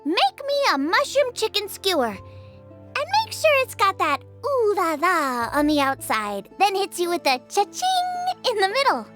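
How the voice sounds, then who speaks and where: A woman voicing an excitable, cheerful and bossy girl chef in an animated video game, perky and emphatic. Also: very high voice, measured pace, soft background music, heavily acted. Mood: excited, upbeat.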